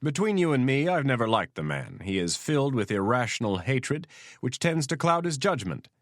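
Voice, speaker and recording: A man's voice: medium pitch, sing-song, moderate pace, no echo, clean studio sound, pitched above the performer's own voice, lightly acted. A man doing a weary, frank president.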